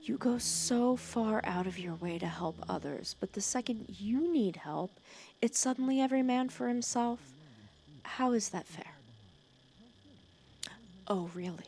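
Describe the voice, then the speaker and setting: A woman playing a protective, teasing female villain who scolds and cares for her hero nemesis in an ASMR roleplay, sarcastic and often dropping to a hush. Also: on the high side, measured pace, pauses often. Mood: exasperated scolding. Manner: scolding yet caring, sarcastic, dropping into hushed breathy asides. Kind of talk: ASMR roleplay audio drama.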